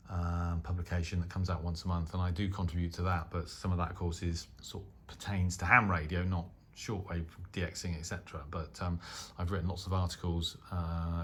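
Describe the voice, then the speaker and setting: A middle-aged man with a British accent speaks in a fairly low voice. He hosts a hobby video in a casual, unscripted style. His delivery is matter-of-fact and hesitant, rambling as he finds his words. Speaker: shortwave radio hobbyist and video host.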